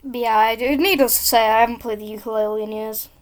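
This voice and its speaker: A woman with a high voice, rambling casually and drifting between topics while commenting on a game she is playing. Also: fairly even intonation, fast talker. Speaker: gaming YouTuber.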